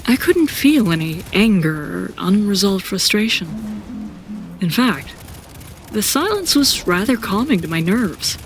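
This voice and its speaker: A woman's voice: mid-range voice, sing-song, a little slow, slight background noise. A woman as a reflective warrior narrator. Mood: reflective, uneasy. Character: introspective seasoned warrior narrating her own story. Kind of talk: first-person scripted audio drama narration.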